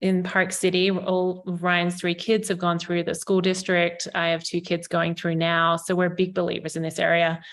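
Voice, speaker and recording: An Australian woman in her forties pitches a development plan to a town council over a video call. Her voice sits a little low for a woman, and her delivery is upbeat and selling, loosely paced with frequent ums. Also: monotone, normal speed, hardly any pauses. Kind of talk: informal presentation.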